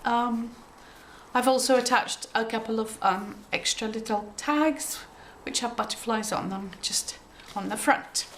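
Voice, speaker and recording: A woman with a cold, sounding a bit croaky, chatting gently through a show-and-tell of her handmade journal with frequent ums and throat clearing. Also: on the high side, a little slow, slight background noise. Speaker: craft journal maker showing her work.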